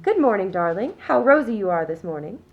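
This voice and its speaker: A genteel middle-aged mother of the upper class, acted by a woman for a radio play. She is smug and prim, talking down to everyone in a self-satisfied, condescending way. Her pitch sits in the middle range. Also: very animated, brisk, lo-fi sound, noisy background. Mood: pleasant, self-satisfied. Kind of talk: scripted radio play acting.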